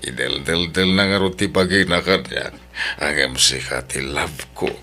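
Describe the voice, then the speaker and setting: Man playing a deep-voiced cheating husband. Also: moderate pace, gravelly, pushed and bright, exaggerated voice. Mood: coaxing. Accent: Ilocano. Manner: coaxing, dismissive. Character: cheating husband Leonardo sweet-talking his mistress. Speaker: voice actor in an audio drama. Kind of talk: Ilocano audio drama acting.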